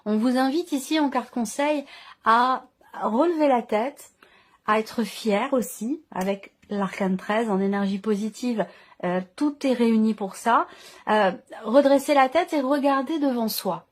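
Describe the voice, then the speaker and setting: A woman's voice: fairly high pitch, unhurried. A woman giving an unscripted tarot reading, often searching for her words and repeating herself. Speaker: tarot reader.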